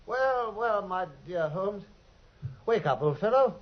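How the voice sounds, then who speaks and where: A man's voice: high voice, lively intonation. An actor playing an earnest, loyal male doctor in a vintage radio drama, speaking eagerly and also narrating. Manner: eager, earnest, quick to exclaim. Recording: dull, husky old radio recording.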